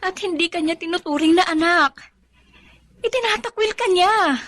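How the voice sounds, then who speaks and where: A woman's voice: very high-pitched, normal speed, fairly bright tone. A Filipino woman playing a frank, concerned young friend in a radio drama, scolding yet caring. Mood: concerned, reproachful.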